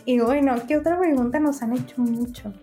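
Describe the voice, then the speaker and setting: A woman with a northern Mexican accent co-hosts a podcast, teasing and prompting her partner in casual chat. Her voice sits a little high, and she turns "ch" sounds into "sh". Faint music plays softly behind her. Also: a little fast. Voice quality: says "sh" in place of "ch". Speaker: podcast co-host.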